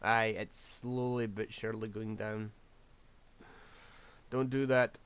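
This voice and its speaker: Man, hoarse, lowish voice, scatterbrained rambling game commentary. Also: level tone, slow, a bit bright. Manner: rambling, scatterbrained, self-deprecating. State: losing his voice. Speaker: game streamer. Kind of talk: casual gameplay commentary.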